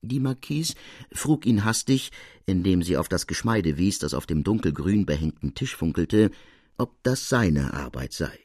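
A man narrating a classic literary tale for a radio audiobook in an expressive, formal way. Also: low voice, a little slow, slightly rough, dry recording, clean studio sound.

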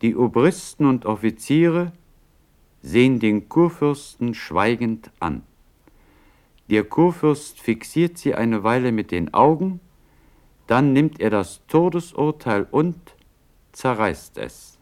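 A man's voice: mid-range voice, sing-song, takes it slowly, darkish tone. A man narrating the stage directions of a radio drama in a scripted, read style.

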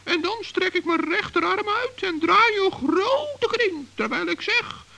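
A tiny, childlike old gnome in a children's radio play. The voice is extremely high, creaky and bright, chattering with self-importance and excitable pride. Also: male voice, measured pace, heavily acted. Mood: proud, chatty. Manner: chatty, self-important, stammers when flustered. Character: small excitable proud forest gnome, elderly and childlike.

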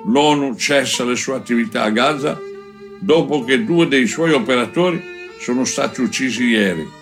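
A man reading a first-person chronicle aloud in a measured, deliberate way, with frequent pauses between phrases. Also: medium pitch, faint music behind. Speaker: reader of a book chapter. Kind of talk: narrated audiobook-style reading of a first-person war chronicle.

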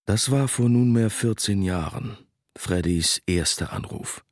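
A man's voice: on the low side, unhurried, dry recording, clean studio sound, lightly acted. An actor playing an anxious recovering alcoholic in his fifties from Germany, narrating his past. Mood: grim, uneasy. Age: 50s. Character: anxious middle-aged recovering alcoholic man looking back. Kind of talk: radio drama first-person narration and dialogue. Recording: music behind the voice.

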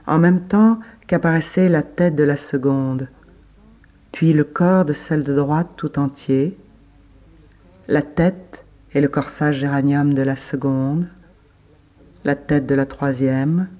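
Slow, soft-toned man reading literary prose. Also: on the high side. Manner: measured, pausing between short phrases. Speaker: reader. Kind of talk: slow literary recitation in a radio play.

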